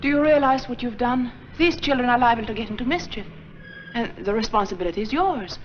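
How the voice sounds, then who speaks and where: A woman's voice: on the high side, brisk, slight background noise, close to an everyday voice. A woman playing an earnest, proper school nun. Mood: worried. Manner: earnest, polite, gently formal. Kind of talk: 1940s film acting. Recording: dull lo-fi 1940s film soundtrack.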